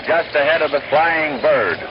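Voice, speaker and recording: An American man calling baseball play-by-play in a 1930s-40s radio style, with a folksy, wry, unhurried way of describing the action. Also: fairly high pitch. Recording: noisy, dull, scratchy old radio broadcast.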